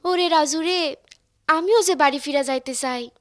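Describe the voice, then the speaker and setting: A woman voicing a kind, eager young village girl in a cartoon, earnest and expressive, with a rural Bangladeshi accent. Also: high voice, a little slow. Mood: homesick. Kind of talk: cartoon voice acting.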